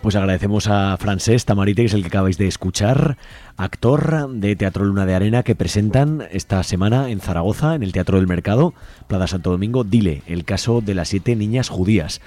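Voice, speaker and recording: A man with a fairly low, soft-edged dark voice presents a radio programme. He introduces people in a conversational, unscripted host style. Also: a little fast, faint music behind.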